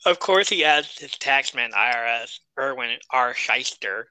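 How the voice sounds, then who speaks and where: A man's voice: mid-range voice, animated, a little slow, bright, forceful tone, clear, resonant tone, no echo, poor audio. A man co-hosting a casual wrestling podcast, chatty and wry, often laughing at his own jokes. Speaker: podcast co-host.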